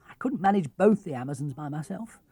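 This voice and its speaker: A man's voice: a little high, expressive, a little fast, mellow, slightly rough, no echo. A man voicing a plucky, eager young girl sailor in a children's storybook. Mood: confident.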